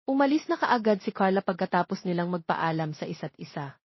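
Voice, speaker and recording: A woman's voice: fairly low pitch, animated, fairly quick, breathy edge. A woman reading a romantic story as a scripted audiobook narration. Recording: roomy, slightly dull recording.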